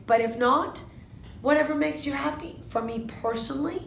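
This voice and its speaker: A woman in her fifties, born in Argentina, answering interview questions in a measured way, often posing a question to herself and then answering it. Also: high voice, vocal fry, reverberant, slight background noise. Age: 50s. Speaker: interviewee, lawyer.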